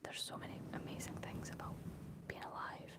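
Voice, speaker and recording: A Scottish woman speaking in a soft, breathy whisper, chatting unhurriedly as a livestream host in an ASMR style. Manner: unhurried, reading out and answering viewer comments.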